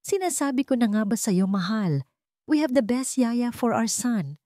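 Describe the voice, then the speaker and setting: A woman's voice: fairly high pitch, very animated, moderate pace, dark tone, no room sound, clean studio sound, pitched above the performer's own voice. A woman voicing a sweet-talking, coolly confident rich fiancée in an audio story, poised and sugary.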